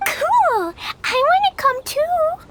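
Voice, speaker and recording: An extremely high little-girl voice, innocent, earnest and bubbly. She speaks slowly with lively swings of pitch, as in a video game, with music underneath. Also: heavily acted. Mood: excited. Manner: childlike, innocent, eager.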